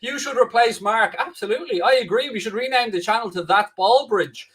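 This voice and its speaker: A man's voice: extremely high pitch, brisk, a bit bright, slightly rough. A man with a Dublin-Wicklow Irish accent, filling in as host of a live football watchalong, chatting casually and bantering with the viewers in a cheeky, self-mocking way. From Ireland. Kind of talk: casual live-stream chat reading viewer comments. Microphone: new microphone, close.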